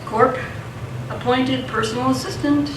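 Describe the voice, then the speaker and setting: A woman's voice: high voice, fairly even intonation, unhurried. A woman playing a sly, coldly loyal corporate assistant with sinister intent in live radio theatre. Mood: quietly menacing. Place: theatre stage. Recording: echoey, noisy stage, distant mic.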